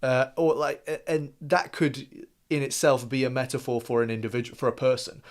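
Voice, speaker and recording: A man's voice: medium pitch, little pitch movement, moderate pace. A young man with an English accent hosting a reaction video, thinking aloud in casual commentary with frequent restarts and fillers. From England. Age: young adult.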